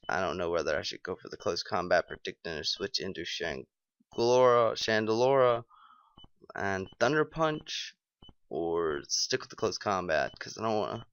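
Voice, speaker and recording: A young man with a lowish voice, scratchy and stuffed up from being sick. He talks through his game moves casually, thinking aloud with lots of ums. The sound is close and dry. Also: a bit bright, dry recording. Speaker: gaming channel host. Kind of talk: live game commentary.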